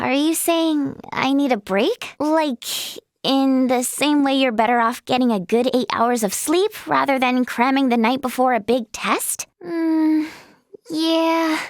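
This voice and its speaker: A woman playing an eager, kind-hearted young female healer in a fantasy video game, earnest, expressive and girlish in manner. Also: high voice, dry recording, studio quality. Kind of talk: video game character acting.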